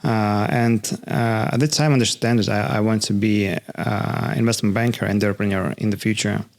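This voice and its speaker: A man from Russia with a Russian accent, a guest answering interview questions in English with many hesitant "uh" pauses. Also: on the low side, fairly even intonation, gravelly. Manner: halting, many drawn-out "uh" fillers. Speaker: guest, finance professional. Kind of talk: interview answers in a second language. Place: studio.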